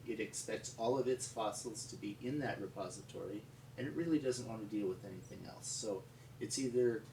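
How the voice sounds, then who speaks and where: An American man telling stories in an unscripted, conversational way, with a rambling, halting delivery. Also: on the low side, darkish tone. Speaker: paleontologist and museum founder telling stories. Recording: echoey, distant mic with background noise.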